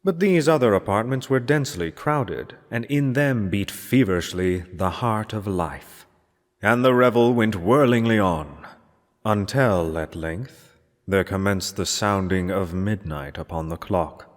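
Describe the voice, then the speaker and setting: A man reading gothic horror fiction aloud as a dramatic narrator, in a theatrical, measured manner with deliberate pauses. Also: fairly low pitch. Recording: long cavernous reverb.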